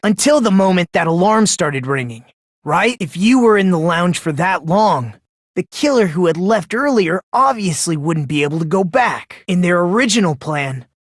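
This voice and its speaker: An actor playing an eerily polite, smug high school boy in an anime game dub, talking in a condescending, leisurely, theatrical way. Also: fairly bright tone, no room sound, studio quality. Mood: calm accusing. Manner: condescending, leisurely, theatrical, rhetorical tag questions. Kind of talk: anime video game dub acting, deductive monologue.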